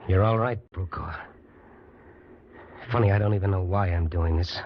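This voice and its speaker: Man playing a deep-voiced, resigned fugitive. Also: moderate pace, natural voice. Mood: reflective, bargaining. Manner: resigned, fatalistic, plainspoken. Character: resigned, wry male fugitive prisoner, freezing and worn out. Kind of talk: old-time radio drama acting. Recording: dull, noisy old radio recording.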